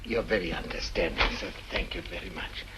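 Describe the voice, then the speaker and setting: A noisy old radio recording of an actor playing a fussy old gentleman scholar. He is bashful and halting, with a fairly low voice and an eager-to-please manner. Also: lightly acted. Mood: bashful, flustered. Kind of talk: old radio mystery drama acting.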